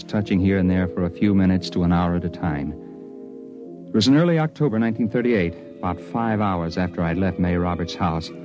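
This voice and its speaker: Male actor as wary time-travelling hired man, low voice, 1950s radio drama, muffled sound, music behind. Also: lightly acted. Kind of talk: 1950s radio drama acting, first-person narration.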